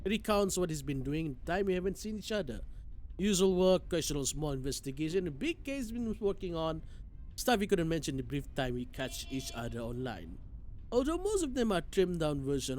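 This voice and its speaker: A man's voice: fairly high pitch, a little mellow, a little hiss or hum. A man streaming a game, reading its narration aloud expressively with occasional stumbles and side comments.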